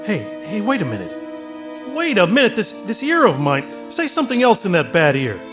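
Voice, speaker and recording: Wisecracking despairing husband,, fairly high pitch, radio play. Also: male voice, wildly swooping pitch, over music. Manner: fast-talking, wry. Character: desperate, wisecracking everyman husband. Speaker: radio actor. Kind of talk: 1940s radio acting.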